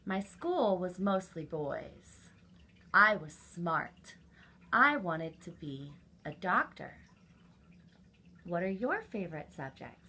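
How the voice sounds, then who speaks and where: A woman hosting a children's story time, reading aloud and chatting to young listeners directly, in an animated manner. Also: lowish voice, slow pace, a little hiss or hum.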